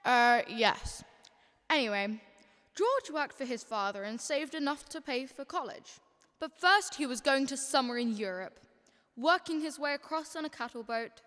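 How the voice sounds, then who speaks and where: Storyteller played by a young woman: high voice, scripted radio drama narration, long echo tail. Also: animated, normal speed, a bit bright. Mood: storytelling. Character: storyteller narrating George's life.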